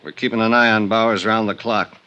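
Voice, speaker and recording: An actor playing a grumbling, practical private detective in a 1950s radio drama, blunt and exasperated. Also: male voice, on the low side, level tone, fairly quick, pushed and bright, smooth, lo-fi sound.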